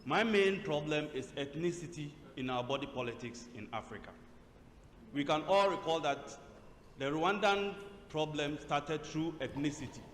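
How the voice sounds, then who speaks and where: A man's voice: fairly high pitch, lively intonation, moderate pace. A Ghanaian man in the audience asks a question in a formal, emphatic way. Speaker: audience member asking a question. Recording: roomy hall with faint noise.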